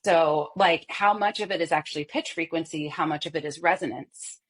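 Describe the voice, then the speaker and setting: Woman, voice coach guest; husky, lower-pitched, animated and demonstrative. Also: brisk. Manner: expressive, demonstrates voice examples, laughs often. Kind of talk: animated podcast interview.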